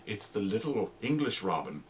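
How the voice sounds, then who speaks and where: A man's voice: fairly low pitch, big pitch swings, moderate pace, heavy background noise. A man giving an informal, explanatory demonstration of radio drama sound effects, piling up descriptive words as he talks.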